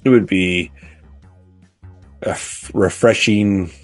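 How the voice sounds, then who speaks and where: Low-voiced man, halting casual podcast co-host. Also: fairly even intonation, faint music behind. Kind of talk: casual chat about video games.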